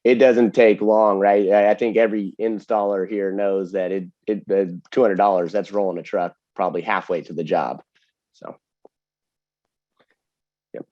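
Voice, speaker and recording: A man's voice: fairly low pitch, fairly even intonation, moderate pace, pauses often, mellow, no room sound, muffled recording. A man explaining technical details in a casual webinar Q&A, often checking for agreement as he goes. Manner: explanatory, often seeks agreement with tag questions. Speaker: webinar host and distributor product expert.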